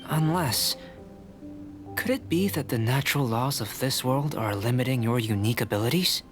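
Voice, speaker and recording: A man voicing a calm, courteous alchemist researcher in a video game, speaking in a measured, formal, articulate way. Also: medium pitch, music in the background, close to an everyday voice.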